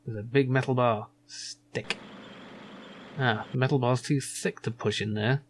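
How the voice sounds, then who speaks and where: A man's voice: mid-range voice, fairly even intonation, unhurried, slight background noise. A man giving casual, rambling let's play commentary as a gaming channel host, musing hesitantly as he goes.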